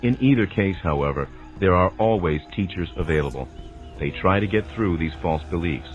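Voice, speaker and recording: A man narrates a scripted, dramatized spiritual teaching in a formal, measured, didactic way. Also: low voice, animated, dull audio. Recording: voice over prominent music. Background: music.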